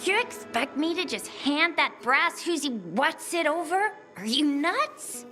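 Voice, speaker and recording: Brash, high-pitched street girl. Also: big pitch swings, unhurried, sharp tone, faint music behind, full character voice. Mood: defiant. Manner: cheeky, slangy, haggling. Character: brash, streetwise ten-year-old girl scavenger. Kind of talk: video game cutscene acting.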